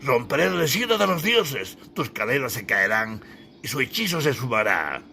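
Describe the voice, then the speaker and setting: A man's voice: medium pitch, very animated, moderate pace, creaky, pushed and bright, voice pushed up, heavily acted. A man voicing a proud, grandiose Renaissance duke in an audiobook, declaiming pompously. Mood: triumphant, fervent. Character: proud, grandiose Renaissance duke, self-styled son of Zeus. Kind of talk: dramatised audiobook.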